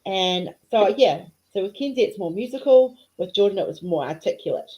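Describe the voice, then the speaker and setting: A woman's voice: animated, fairly quick, a little mellow, low-quality recording. Sing-song Kiwi-accented woman chatting. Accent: New Zealand, slightly mixed with Australian. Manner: sing-song, pitch going up and down. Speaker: livestream host, diamond painting artist. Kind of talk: casual livestream chat.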